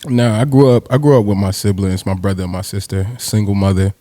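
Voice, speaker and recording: A man's voice: fairly low pitch, steady tone, fairly quick, mellow, dry recording. A young man from New Jersey, a rapper, chatting casually as an interview guest with slang and swearing. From New Jersey, USA. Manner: laid-back slangy, swears freely, laughs.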